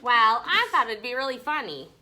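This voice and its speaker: A woman's voice: on the high side, very animated, normal speed, bright, forceful tone, exaggerated voice. A woman reading as a cheeky, impulsive schoolboy from a storybook. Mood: sheepish.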